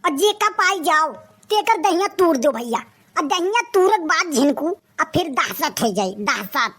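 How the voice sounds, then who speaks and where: Casting: woman as pushy young gangster boy for comic cartoon, Awadhi accent, piercingly high and bright, full of swagger. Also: big pitch swings, unhurried, heavily acted. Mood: boastful. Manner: bragging, pushy. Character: scheming, boastful young male village wannabe gangster.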